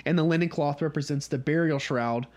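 A man's voice: fairly high pitch, fairly quick, a little mellow. A man from North Georgia with a Southern US accent, leading a casual Bible study and often checking in with his listeners. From North Georgia, USA. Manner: conversational, often checks in with "okay?".

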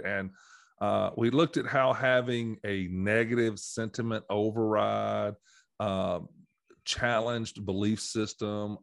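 A man hosting a church podcast, talking through the topic in a casual, rambling way, his voice slightly hoarse from allergies. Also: lowish voice, no room sound, clean studio sound. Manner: rambling, many fillers and restarts. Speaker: podcast host. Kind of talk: casual teaching conversation.

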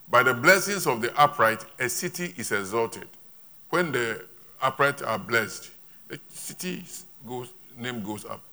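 A male preacher with a bright, forceful voice delivers a sermon in short emphatic bursts. He repeats his points and leaves pauses for an interpreter. The sound comes from a church service. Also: medium pitch.

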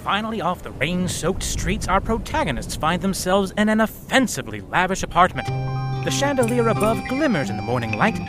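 An actor playing a florid, dramatic narrator setting scenes in a comic noir audio play. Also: male voice, high-pitched, big pitch swings, a little fast. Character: grandiloquent storybook narrator of a 1920s noir tale. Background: loud music.

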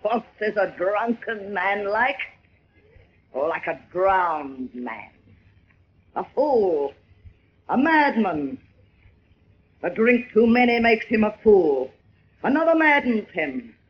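An actress playing a blowsy, broken-down old stage actress who declaims theatrically in an old radio drama. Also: female voice, medium pitch, very animated, slow, long pauses. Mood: boozy, declaiming. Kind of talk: 1940s radio acting. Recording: muffled, noisy old radio recording.

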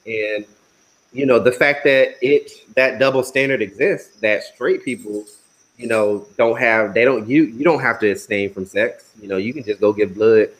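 A man's voice: medium pitch, normal speed, rough recording. A man chatting casually in a group conversation, joking and using many fillers. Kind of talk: casual roundtable chat.

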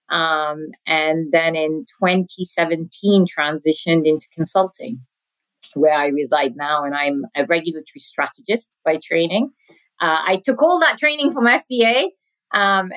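A woman's voice: low-pitched, poor audio. A woman in her forties, from Sudan with a British accent, chatting as a podcast guest and laughing often. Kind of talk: conversational interview.

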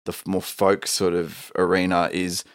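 A man's voice: deep voice, monotone, moderate pace, clear, resonant tone, dry recording, clean studio sound. A man with a big, thick voice, talking casually and emphatically as a musician being interviewed. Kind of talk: casual chat.

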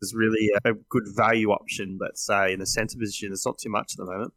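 A man's voice: lowish voice, little pitch movement, fast talker, a bit bright, no room sound. A man with an Australian accent talking casually as a panellist on a fantasy sports podcast.

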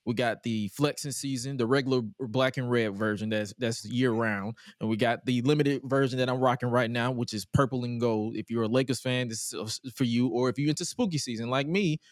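A man's voice: mid-range voice, steady tone, a little fast, hardly any pauses, dry recording, clean studio sound. A man in his twenties hosting a podcast in a loose casual chat, profane and repeating himself. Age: 20s. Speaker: podcast host.

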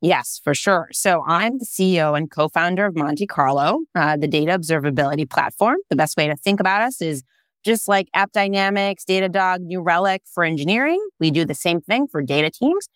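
Woman in her thirties from Israel, startup founder, conversational, smooth resonant voice, dry close sound. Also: fairly low pitch, brisk, fairly bright tone, no echo. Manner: thoughtful, leans on fillers like "you know" and "I mean". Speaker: startup founder and CEO, guest. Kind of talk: conversational interview answers.

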